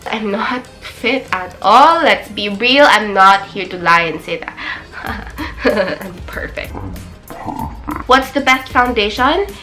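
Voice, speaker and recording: Young Filipino woman, 20, beauty vlogger; bright, chatty code-switching delivery, soft music underneath. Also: medium pitch, animated, takes it slowly. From Philippines. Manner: chatty, switching between English and Tagalog. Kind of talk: casual Q&A chat. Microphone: camera.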